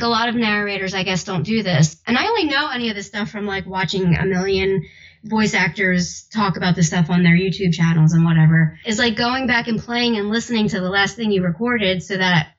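A woman's voice: medium pitch, a little fast, highs cut off. A woman narrator with a young-sounding voice who chats casually, laughing often and using lots of fillers. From New Jersey. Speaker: audiobook narrator guest. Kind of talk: casual podcast interview. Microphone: home recording booth.

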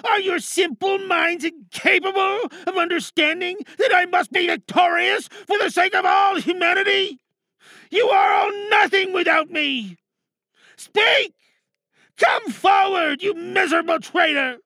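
A man's voice: extremely high pitch, unhurried, vocal fry, bright, forceful tone, dry recording, shouting, clean studio sound, voice pushed up, full character voice. A man playing a grandiose, paranoid villain leader who addresses his followers in theatrical, menacing oratory for an audio drama monologue. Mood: furious, menacing.

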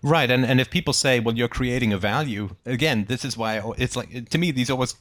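Man, discussion host, rapid pace, dry close sound, informal argumentative style, restating points. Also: mid-range voice, fairly bright tone, no echo.

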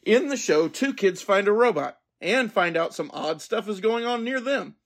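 A man's voice: high-pitched, lively intonation, moderate pace. A man in his forties presenting a podcast in a scripted, explanatory way. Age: 40s. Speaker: podcast host.

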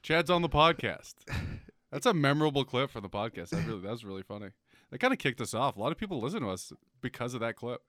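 A man's voice: mid-range voice, big pitch swings, fast talker, fairly bright tone, no room sound, studio quality. A young man from North America co-hosting a casual comedy podcast, profane and ranting, laughing often. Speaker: podcast co-host.

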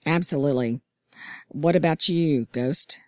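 A hoarse woman hosts a casual chat with a low, throaty voice, sounding enthusiastic despite the strain. The room is dry and close, with no echo. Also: unhurried, darkish tone. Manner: upbeat, enthusiastic. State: hoarse, lost voice. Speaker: podcast host.

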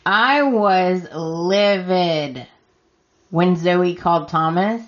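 Scratchy-voiced woman recapping, slow and emphatic. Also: fairly low pitch, dull audio. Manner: emphatic, talks straight to the characters. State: losing her voice, scratchy. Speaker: podcast host. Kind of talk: solo recap podcast.

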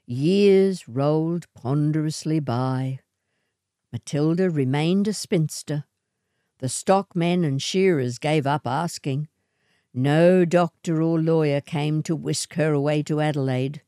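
A woman reading a short story aloud in a deliberate, theatrical storytelling style. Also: sits low, mellow, smooth, dry recording, studio quality. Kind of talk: dramatic scripted storytelling.

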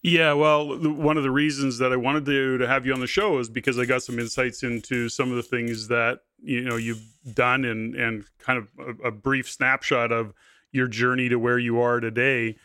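Bright-voiced man hosting a podcast. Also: medium pitch, flat delivery, moderate pace, clean tone, dry recording. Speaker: podcast host. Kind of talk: conversational interview.

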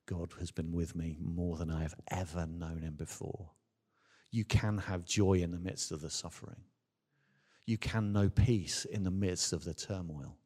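Deep-voiced preacher in a reverberant hall. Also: male voice, darkish tone, breathy edge. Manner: earnest, emphatic, with rhetorical questions and deliberate repetition. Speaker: Baptist minister preaching. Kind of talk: sermon to a congregation. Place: large church or hall, speaking from a stage. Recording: reverberant hall with long echo.

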